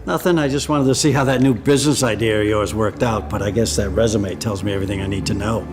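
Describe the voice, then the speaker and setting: An actor playing a smug, domineering boss who taunts theatrically in a scripted short drama. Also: male voice, lowish voice, steady tone, fast talker, lightly acted. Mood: mocking. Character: smug, mean, domineering male boss and bully. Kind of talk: hammy scripted drama acting. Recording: dialogue over background music.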